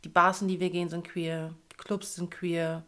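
A woman's voice: on the low side, little pitch movement, normal speed, pushed and bright. A woman in her thirties from Berlin, co-hosting a chatty podcast in a playful, teasing way and laughing often. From Berlin, Germany. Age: 30s. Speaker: podcast co-host. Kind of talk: casual chat.

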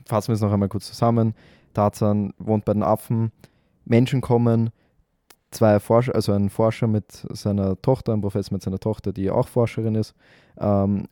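A man's voice: on the low side, warm tone, no echo, studio quality. A man co-hosting a podcast, chatty and recapping stories with fillers. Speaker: podcast co-host. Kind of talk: casual chat.

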